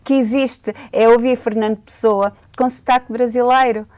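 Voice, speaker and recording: A woman from Portugal with a European Portuguese accent, co-hosting a radio show and talking slowly and thoughtfully about reading poems. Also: on the high side, fairly even intonation, a little mellow. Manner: thoughtful, hesitant, with fillers. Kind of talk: casual conversation about poetry.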